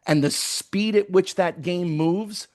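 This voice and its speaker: A man's voice: high-pitched, slow, darkish tone. An American man acting as interviewer in a casual conversation about sports.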